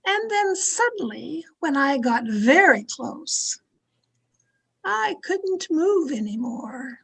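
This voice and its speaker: A woman acting a domineering, fearful, haunted wife in an old-time radio thriller, with an imperious, dramatic delivery full of halting dread. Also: high-pitched, very animated, creaky, a bit bright, exaggerated voice. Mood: hushed dread.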